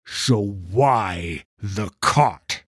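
Stern, menacing mage hunter, rough and sneering, measured pace, dry close sound, audiobook fantasy. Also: male voice, lowish voice, no echo. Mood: furious interrogation. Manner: sneering, accusatory, forceful. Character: stern, bitter male mage hunter, grieving and menacing interrogator.

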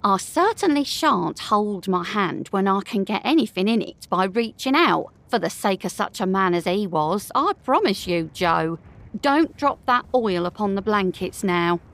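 Bold, scornful charwoman with thieving ways, very lively delivery, audio drama. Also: medium pitch, measured pace, gravelly. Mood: gleeful, brazen. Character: brazen, scornful, thieving working-class charwoman.

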